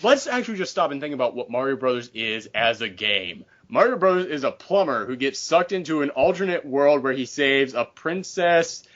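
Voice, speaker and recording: A man's voice: medium pitch, sing-song, normal speed, hardly any pauses, fairly bright tone, muffled recording. A man hosting a casual panel discussion, talking in an opinionated, casually profane way. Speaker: podcast host.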